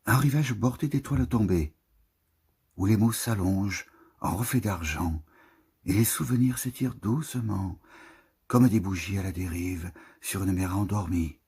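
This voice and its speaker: A man with a husky, breathy voice reads poetry in a solemn, lyrical manner, lingering over the phrases of a literary text. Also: low-pitched, measured pace, a little mellow. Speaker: poetry reader. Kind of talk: literary poetry recitation, scripted narration.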